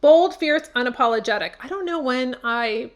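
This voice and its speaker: Upbeat woman host with a higher voice. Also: expressive, normal speed. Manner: emphatic, encouraging, repeats key words. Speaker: beauty channel host. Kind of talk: talking to camera, giving tips.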